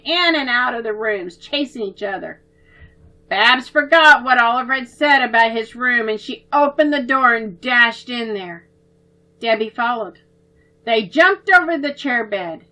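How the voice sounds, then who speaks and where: A woman reading a children's story aloud in an expressive, gentle way. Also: on the high side, poor audio.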